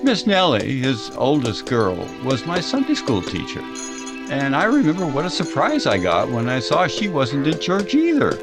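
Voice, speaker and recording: A male actor as a man looking back on his small-town boyhood in an old-time radio drama. His pitch is on the low-to-middle side and moves freely, with hesitant conversational asides over music. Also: wildly swooping pitch, moderate pace. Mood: reminiscent, wistful. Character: man fondly narrating a boyhood memory of a small-town tragedy. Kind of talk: recreated 1940s radio drama narration.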